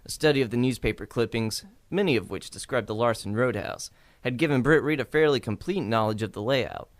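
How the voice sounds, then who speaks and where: A man's voice: medium pitch, brisk, no room sound. A man reading scripted narration for an old-time radio crime drama.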